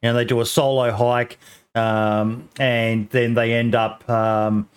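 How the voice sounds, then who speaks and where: A man's voice: mid-range voice, little pitch movement, slow, clear, resonant tone. Hesitant man hosting a podcast chat. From Australia. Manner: hesitant, many ums and restarts. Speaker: podcast host. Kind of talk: casual chat.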